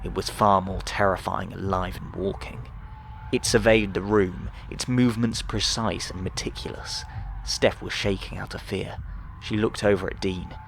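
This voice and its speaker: Low, creaky male audiobook narrator. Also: steady tone, moderate pace, a little hiss or hum. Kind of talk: scripted dramatic audiobook narration.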